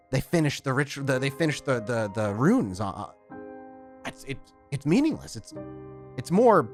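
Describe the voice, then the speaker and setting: A man's voice: mid-range voice, lightly acted. A man voicing a nervous young male apprentice sorcerer who stammers and hesitates, with many fillers and restarts. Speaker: storyteller voicing a character.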